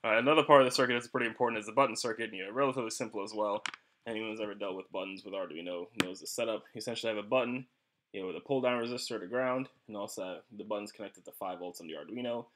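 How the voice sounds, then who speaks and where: A man's voice: fairly low pitch, rapid-fire, fairly bright tone, slight background noise. A man narrating an unscripted electronics tutorial as a hobbyist, explaining things informally and ramblingly, with "you know" sprinkled through. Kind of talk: casual unscripted tutorial narration over screen capture.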